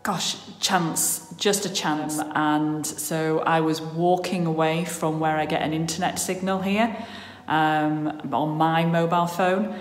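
A woman's voice: low-pitched, fairly even intonation, slow, hardly any pauses, soft background music. A woman, talking worriedly into a phone held at arm's length in a casual vlog. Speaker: vlogger. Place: outdoors in the countryside and indoors at home.

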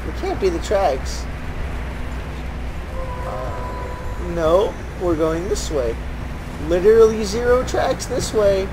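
A man's voice: high-pitched, expressive, slow, long pauses. A man giving sarcastic, sweary game commentary in an exasperated, repetitive, mock-enthusiastic manner. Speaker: gaming streamer. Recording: loud fan and game noise behind. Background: whirring computer fan, game audio.

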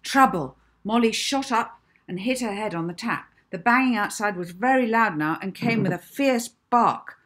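A woman in her fifties reading her own children's book aloud as an expressive, deliberate storyteller. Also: medium pitch. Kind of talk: children's audiobook narration. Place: small indoor room at home.

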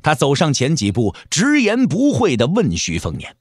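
A man's voice: fairly high pitch, wildly swooping pitch, normal speed, breathy edge, dry recording, clean studio sound. A man narrating an audio drama as a dramatic storyteller, with emphatic, theatrical phrasing.

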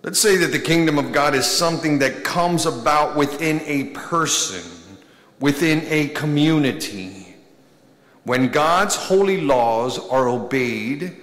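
A man in his thirties, a priest delivering a sermon from a pulpit, speaking with slow, deliberate emphasis and pauses. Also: on the high side, a bit bright. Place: large church, at a pulpit. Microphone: pulpit microphone. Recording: echoey church with long reverb.

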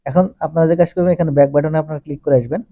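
A man's voice: a little high, steady tone, rapid-fire, no room sound. A man presenting a step-by-step tech tutorial as a voice-over, with repetitive, methodical phrasing. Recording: dull, lo-fi close recording.

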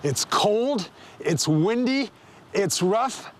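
A man's voice: sits high, expressive, drawn-out words. A man presenting the introduction to a television fishing show. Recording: music under the voice.

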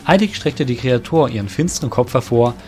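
Male narrator, fantasy audio drama. Mid-pitched, literary storytelling, faint music underneath. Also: lively intonation, fairly quick, a little mellow.

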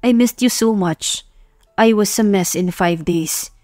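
A woman's voice: medium pitch, slow, a little mellow, no echo, clean studio sound, soft background music, natural voice. A woman voicing an earnest, lovestruck young man making intense, sincere declarations in an audio love story. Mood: tender, firm.

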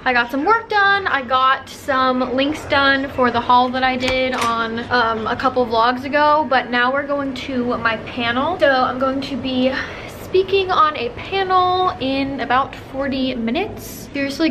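A woman's voice: high-pitched, a little slow, noisy background. Bubbly young woman vlogging to camera. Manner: chatty, bubbly, rambling with fillers. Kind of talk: casual vlog to camera. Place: hotel room and busy convention grounds. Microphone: handheld camera at arm's length.

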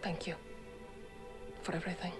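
An actress playing a resolute female rebel commander in a military shooter game, terse and creaky-voiced. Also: normal speed, natural voice. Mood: calm gratitude. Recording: game audio with music behind.

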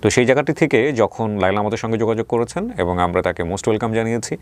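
A man's voice: on the low side, quick pace. A man hosting an interview show, speaking politely and formally and mixing English words into his talk. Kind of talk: interview hosting, addressing viewers.

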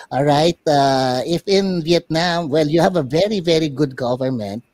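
A man with a Filipino accent hosting a talk show interview in a playful, expressive, emphatic way. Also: on the high side, a little slow, no echo. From Philippines. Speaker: puppet show host.

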